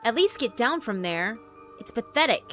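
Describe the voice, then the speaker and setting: A woman voicing a brave teenage schoolgirl who scolds a bully in a sharp voice. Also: on the high side, big pitch swings, moderate pace, pitched above the performer's own voice. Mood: scolding. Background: background music.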